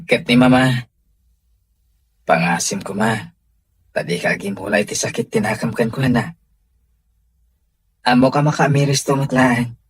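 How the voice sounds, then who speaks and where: A man's voice: medium pitch, lively intonation, a little slow, pauses often, slightly rough, no room sound, exaggerated voice. An actor with a Filipino accent playing a devoted, tender young man in a radio drama. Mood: tender, earnest.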